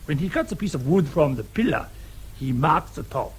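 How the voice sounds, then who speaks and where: A man's voice: a little high, sing-song, moderate pace, a little hiss or hum. An actor playing a precise, pedantic forensic expert in a radio drama, formal and didactic as he gives evidence. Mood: precise, authoritative.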